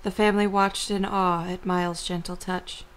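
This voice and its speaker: A woman's voice: lowish voice, flat delivery, normal speed, a little hiss or hum. A woman narrator reading scripted story narration for an amateur audio drama.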